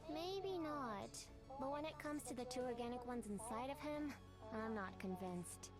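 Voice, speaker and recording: Wry young mech pilot, highish voice. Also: female voice, expressive, a little slow. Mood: wary, defiant. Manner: sardonic, skeptical. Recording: game audio with loud music.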